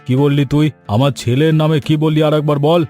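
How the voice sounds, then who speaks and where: A man's voice: on the high side, steady tone, fast talker, dark tone, close to an everyday voice. A man voicing a proud, easily offended older village father in a cartoon. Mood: indignant. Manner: indignant, boastful. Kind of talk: Bengali cartoon voice acting. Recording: music bed behind the voice.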